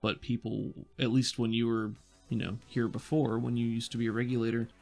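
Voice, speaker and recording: A man runs a tabletop roleplaying game as narrator and game master. His mid-range voice has a gravelly fry, and soft music plays underneath. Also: medium pitch, flat delivery, a little fast.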